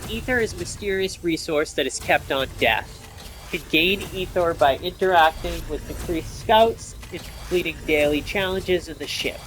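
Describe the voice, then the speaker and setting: A man's voice: on the high side, moderate pace, a bit bright, slight background noise, low-quality recording. A man commentating casually on his own video game playthrough, muttering and thinking aloud to himself. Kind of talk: casual let's-play commentary. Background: video game music and sound effects.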